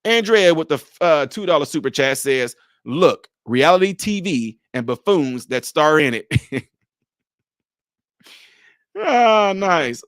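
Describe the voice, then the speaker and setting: Emphatic high-voiced male commentator. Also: slow, no room sound, studio quality. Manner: animated, emphatic, rhetorical questions. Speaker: commentator reacting to a video clip. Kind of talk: casual live-stream commentary.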